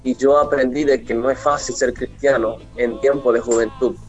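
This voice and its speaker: A man's voice: medium pitch, fairly even intonation, moderate pace. Young venezuelan guy being interviewed, earnest churchy way of talking, muffled audio w music behind. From Mérida, Venezuela. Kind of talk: radio interview, devotional testimony. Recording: dull lo-fi remote link, music behind.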